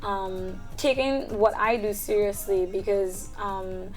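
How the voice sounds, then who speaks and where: A woman's voice: medium pitch, level tone, takes it slowly, soft background music, poor audio. Young woman, chatty and upbeat. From Trinidad and Tobago. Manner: chatty, frequent "um" fillers and soft laughs. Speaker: singer-songwriter guest. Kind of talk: casual interview answers.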